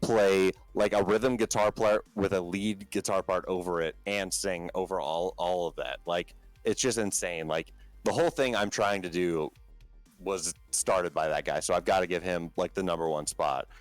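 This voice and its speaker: A man with a low talking voice, a band's vocalist as a podcast guest, chatting casually and rambling with many fillers and restarts. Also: fairly even intonation, normal speed, dry recording, faint music behind.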